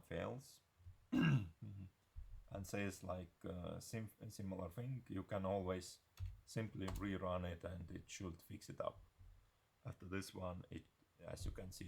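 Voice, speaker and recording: A man giving an unscripted software screencast tutorial in a halting, hesitant way. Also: low-pitched, gravelly, husky.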